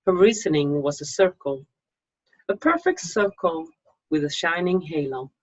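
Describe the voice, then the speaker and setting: A woman from Sweden with a Swedish accent and a husky voice, speaking in an unhurried, deliberate way as an author presenting and reading her own writing. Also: sits low, animated, darkish tone. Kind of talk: informal introduction, then slow literary story reading. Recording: dull lo-fi microphone sound.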